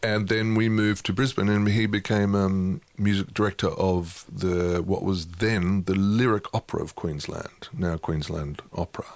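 A middle-aged man, talking as an interview guest in a relaxed, self-deprecating way with wry asides. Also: low-pitched, normal speed, gravelly, few pauses, no echo. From England. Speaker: guest, opera music head.

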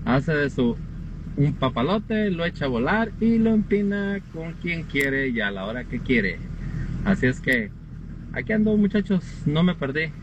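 A man's voice: on the high side, very animated, unhurried. A man with a Mexican accent, talking in a slangy, teasing way in a casual caller's message. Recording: muffled lo-fi message with vehicle noise.

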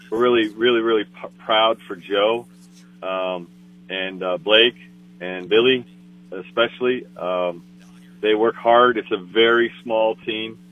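Man on a tinny phone line. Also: lowish voice. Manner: halting, repeats words, many fillers. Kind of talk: casual motorsport chat.

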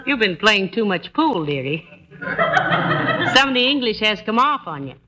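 An actress playing a patient, gently chiding wife in old-time radio comedy, with a wry, homely, affectionate manner. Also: female voice, mid-range voice, big pitch swings, moderate pace, natural voice, rough recording. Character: patient, gently chiding wife who calls everyone dearie. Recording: vintage radio broadcast with faint noise.

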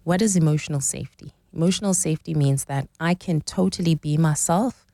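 A woman's voice: low voice, a little fast, dark tone, dry recording, studio quality. A woman in her forties, explaining relationship dynamics as a guest in a conversational interview, often checking in with "right?". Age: 40s.

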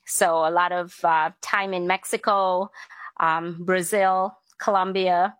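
Measured middle-aged businesswoman from Sri Lanka. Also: lowish voice, steady tone, a bit bright, no echo. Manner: measured, businesslike, with frequent ums. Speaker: finance executive guest. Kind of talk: interview answers.

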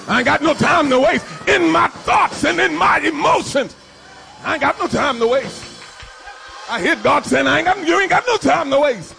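A man's voice: very high-pitched, lively intonation, moderate pace, vocal fry, bright, forceful tone, faint music behind. A man preaching a fiery sermon, exhorting his congregation with commands and repeated refrains. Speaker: pastor. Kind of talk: shouted call-and-response sermon.

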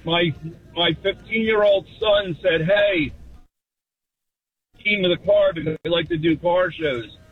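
A man's voice: high-pitched, measured pace, long pauses, breathy edge. A man in his fifties from Philadelphia, calling into a radio show and chatting bluntly. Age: 50s. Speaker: caller. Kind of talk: casual call-in chat. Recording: tinny phone line.

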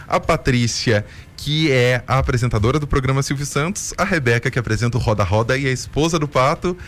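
A man's voice: medium pitch, brisk, a bit bright. A man co-hosting a radio show, chatting jokingly and listing facts with ease. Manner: joking, knowledgeable, playful. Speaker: radio co-host. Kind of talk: casual talk radio chat.

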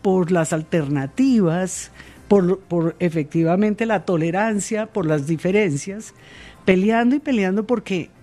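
A woman, answering interview questions in a measured way, with many pauses and fillers. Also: on the low side, dark tone. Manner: measured, hesitant with fillers and repeated words. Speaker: interviewed guest.

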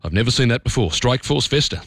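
A middle-aged Australian man hosting talk radio, brisk and opinionated in a conversational way. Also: medium pitch, animated, fairly bright tone, slightly rough, dry recording, clean studio sound. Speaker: radio host. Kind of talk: talk radio commentary and interview.

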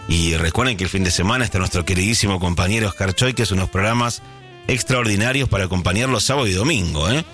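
A man's voice: low-pitched, fast talker, fairly bright tone, soft background music. A middle-aged man with a Rioplatense Spanish accent hosting a casual early-morning radio show, chatting and thinking aloud with many fillers and restarts. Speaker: radio host.

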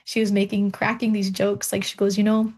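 A woman's voice: mid-range voice, little pitch movement, fairly quick, darkish tone, slightly rough. A young woman guest on an interview show, a doctoral researcher, telling personal stories animatedly with frequent laughs and restarted sentences.

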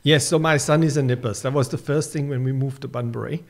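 A man's voice: medium pitch, fairly even intonation, fast talker, creaky. German-accented man, eager club volunteer. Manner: earnest, enthusiastic, with restarts and fillers. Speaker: surf club secretary and media manager. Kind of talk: radio interview guest.

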